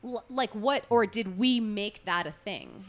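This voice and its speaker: A woman's voice: mid-range voice, a little slow. A woman hosting a casual interview, asking frank questions, often laughing, and adding her own thoughts. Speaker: podcast host.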